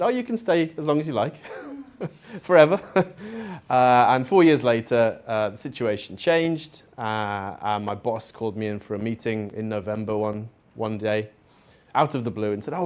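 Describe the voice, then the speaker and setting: A middle-aged man speaks at a conference with an easygoing, conversational delivery. His pitch is neither high nor low, and he leans on fillers and friendly asides as he talks. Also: moderate pace. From Spain. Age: 40s. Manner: casual and chatty, with fillers and asides. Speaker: conference presenter. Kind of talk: conference talk. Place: conference venue.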